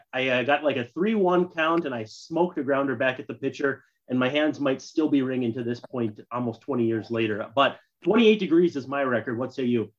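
A man's voice: mid-range voice, lo-fi sound. A man in his thirties with an American accent hosts a casual sports podcast chat. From Minnesota, USA. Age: 30s. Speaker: podcast host.

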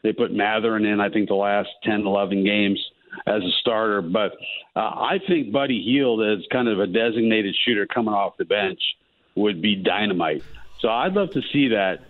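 Man on a tinny phone line, halting. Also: fairly low pitch, no echo. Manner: halting, many fillers and restarts. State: losing his voice. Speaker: columnist guest. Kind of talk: casual sports talk.

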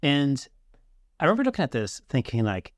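A man's voice: mid-range voice, huge pitch swings, brisk, no room sound. A middle-aged man hosting a podcast in a casual, rambling, anecdotal way. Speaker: podcast host. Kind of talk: casual conversational interview.

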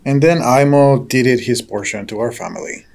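Audio drama role: older male farm patriarch man acting, folksy storyteller, courteous, gently probing, medium pitch. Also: moderate pace, gravelly, natural voice. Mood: fond, reminiscing. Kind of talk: naturalistic audio drama dialogue.

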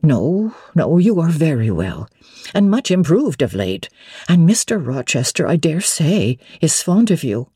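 A woman's voice: sits low, big pitch swings, unhurried, mellow, husky, no echo, studio quality, full character voice. A woman voicing an elderly widowed housekeeper who is bewildered and cautious, speaking in a hesitant, fretful way in a classic novel reading. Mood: gently cautioning. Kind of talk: dramatic audiobook reading of classic literature.

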